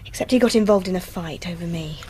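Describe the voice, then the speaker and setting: An actress playing a friendly, flirtatious hospital nurse in a radio drama. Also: female voice, fairly low pitch, fast talker, background noise, natural voice.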